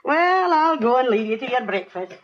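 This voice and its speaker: An actress playing a fussing, kindly housekeeper in 1940s radio acting style. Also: female voice, high-pitched, sing-song, moderate pace. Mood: amused. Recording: dull vintage radio recording.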